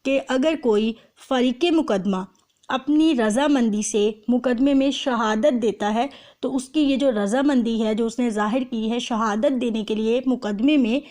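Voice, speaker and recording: A woman with a Pakistani accent giving an explanatory lecture as a teacher, mixing in English terms and reading clauses slowly and deliberately. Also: fairly high pitch, darkish tone. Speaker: law lecturer. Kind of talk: explanatory lecture with phrase-by-phrase translation.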